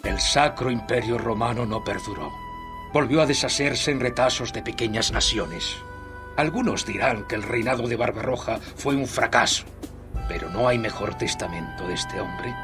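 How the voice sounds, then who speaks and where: An actor playing an old exiled nobleman who recounts history in solemn, measured Castilian Spanish, as a dramatic game narration. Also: mid-range voice, natural voice. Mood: grave, dramatic.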